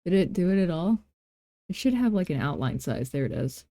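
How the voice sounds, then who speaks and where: A woman hosting a software tutorial in a casual, playful way, thinking aloud and tossing in self-deprecating asides as she works. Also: fairly low pitch, big pitch swings, brisk, no echo, clean studio sound. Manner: informal thinking aloud while working. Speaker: tutorial host. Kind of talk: casual screen-recorded software tutorial narration.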